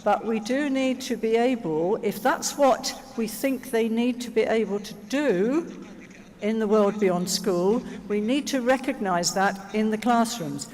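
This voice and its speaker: A conference speaker with a mid-pitched voice and a slow, deliberate pace. The delivery is reflective and explanatory, punctuated by rhetorical questions, and carried over a microphone into a large, echoing hall. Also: darkish tone. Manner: reflective, uses rhetorical questions and check-ins. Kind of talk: explanatory question-and-answer talk. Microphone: stage microphone.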